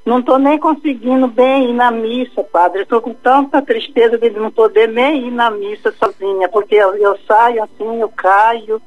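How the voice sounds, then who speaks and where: An older woman from Mid-West Brazil talks haltingly and sorrowfully, breaking off and restarting. Her voice comes through a narrow, tinny phone line. It sounds like a call-in to a religious program. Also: fairly high pitch, steady tone. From Cuiabá, Mato Grosso, Brazil. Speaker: caller.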